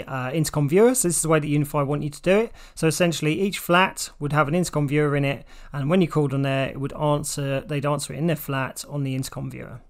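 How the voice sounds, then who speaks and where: An English man in his forties presents a tech tutorial in a somewhat high-pitched voice. He explains things step by step, informally and in plain terms, as an instructor would. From United Kingdom.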